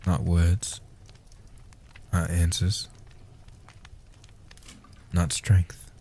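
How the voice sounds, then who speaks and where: A man playing a centuries-old guardian vampire, gentle and protective, speaking softly and huskily in hushed, slow phrases for a comfort roleplay audio. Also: deep voice, level tone, slight background noise, heavily acted. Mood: gentle, reassuring. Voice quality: soft-spoken, husky. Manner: slow, hushed delivery with long pauses.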